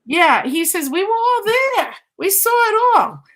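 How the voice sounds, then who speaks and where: A woman's voice: very high-pitched, big pitch swings, unhurried, a bit bright. A woman giving a conversational live mediumship reading, insistently repeating phrases and relaying messages as quotes.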